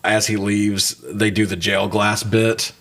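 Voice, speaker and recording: A man's voice: on the low side, level tone, slow pace, fairly bright tone. A man co-hosting a casual, comedic movie review, talking with sarcastic, profane joking. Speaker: podcast co-host reviewing movies.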